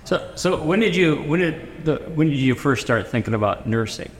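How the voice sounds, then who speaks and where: A man's voice: fairly high pitch, expressive, moderate pace. A man interviewing someone for an oral history, asking short questions and summing up the answers. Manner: short prompts and recaps. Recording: long reverb.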